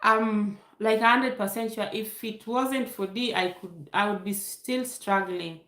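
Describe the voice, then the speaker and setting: Kenyan woman doing a chatty live q&a, slow talker, lots of laughing, echoey room. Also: mid-range voice, bright, forceful tone. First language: Luo. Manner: chatty, reads viewer comments aloud, laughs often. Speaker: YouTube livestream host. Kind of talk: casual live Q&A with chat.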